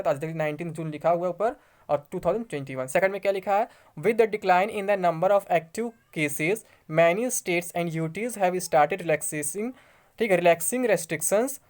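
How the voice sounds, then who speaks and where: A woman's voice: lowish voice, darkish tone. A woman with an Indian accent giving an informal news explainer, reading articles aloud and explaining them. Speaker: YouTube exam news presenter.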